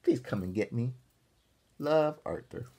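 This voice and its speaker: A man's voice: medium pitch, lively intonation, measured pace, mellow. A man reading a storybook, voicing a homesick, grumbling schoolboy reading out a plaintive letter from camp.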